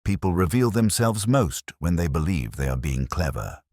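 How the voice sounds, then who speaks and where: A man playing a melancholy, reflective police inspector, narrating his case in a measured, literary way like an audio drama. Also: low-pitched, sing-song, darkish tone, no room sound, studio quality. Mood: brooding, reflective. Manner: measured, literary, brooding delivery. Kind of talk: scripted first-person detective audio drama narration.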